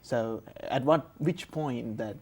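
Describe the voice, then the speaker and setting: A man's voice: mid-range voice, slow, darkish tone. Male TV host in conversational interview. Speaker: TV talk show host.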